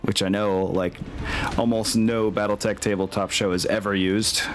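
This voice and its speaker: A man with a low voice runs a tabletop game as its game master. He talks informally, narrating and thinking aloud through the rules, with music clearly playing behind him. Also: flat delivery, moderate pace.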